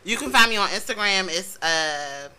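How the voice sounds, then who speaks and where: A woman's voice: mid-range voice, animated, normal speed, a crowd in the background. A woman in her thirties, a guest in casual chat, telling stories in a laid-back, laughing way while a little tipsy. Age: 30s. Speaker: podcast guest, mobile bartender.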